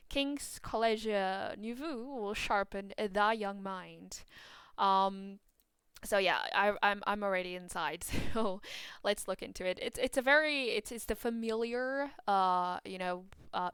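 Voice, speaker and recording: A woman with a Portuguese accent talks casually and excitedly about a game, full of fillers and little restarts. Her voice is exceptionally crisp and clean, with a dry, close sound and no room around it. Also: mid-range voice, dry recording. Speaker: gaming YouTuber.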